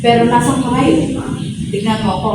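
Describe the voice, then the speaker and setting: A woman's voice: on the high side, steady tone, normal speed, close to an everyday voice. A woman playing a plain-spoken, blunt, unschooled character in an amateur film drama. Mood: blunt, challenging. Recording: very echoey, distant, loud noise.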